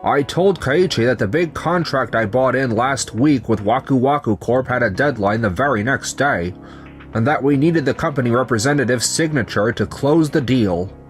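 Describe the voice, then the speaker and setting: A man's voice: mid-range voice, lively intonation, normal speed, close to an everyday voice. A man voicing young company men in a manga dub, with narration, over background music. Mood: smug, businesslike. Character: betrayed young company founder, calm and resigned, and his coworkers. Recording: music under the voice.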